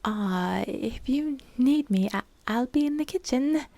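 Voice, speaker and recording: A woman's voice: sits high, lively intonation, unhurried, mellow, dry recording, pitched above the performer's own voice. Woman putting on a jittery, stammering worrier. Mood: nervous. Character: nervous, fretful woman baker.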